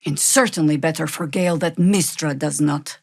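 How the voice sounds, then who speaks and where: A middle-aged woman playing a seasoned, wry druid warrior in a fantasy video game, speaking in a measured, dry, formal way. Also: low voice, creaky, darkish tone, no room sound. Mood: resolute. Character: seasoned, wry female druid warrior, weary but resolute.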